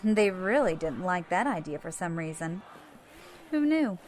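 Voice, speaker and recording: A woman's voice: lowish voice, big pitch swings, measured pace, darkish tone, slight background noise. A woman playing a cool, wry, cunning rebel captain in an audio drama, matter-of-fact in delivery. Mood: wry, smug.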